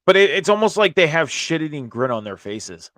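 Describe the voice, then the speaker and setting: Hoarse man hosting a wrestling show. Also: on the high side, sing-song, a little fast, a bit bright, no echo. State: hoarse after a night of screaming at a concert. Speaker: host of a wrestling review show. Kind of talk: casual recap and commentary.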